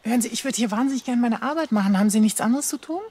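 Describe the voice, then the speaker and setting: An actress playing a brisk, impatient forensic pathologist in a crime comedy audio drama. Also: female voice, a little high, a little mellow, breathy edge. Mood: impatient, sarcastic.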